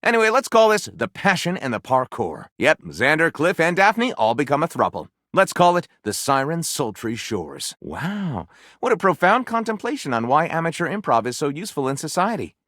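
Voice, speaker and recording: A man's voice: a little high, very animated, moderate pace, fairly bright tone, dry recording, studio quality. A man playing a flippant, theatrical writer character in a video game, speaking with wry sarcasm and mock grandeur. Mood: gleeful, mischievous, then sarcastic. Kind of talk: animated game dialogue, mock-grand title announcing.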